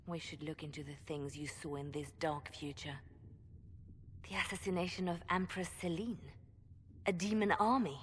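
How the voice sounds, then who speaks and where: An actress playing a compassionate, indignant adviser in a video game, with a slightly husky voice and reproachful, intent delivery. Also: female voice, fairly low pitch, steady tone, moderate pace, long reverb tail, a little hiss or hum, close to an everyday voice. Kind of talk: video game cutscene acting.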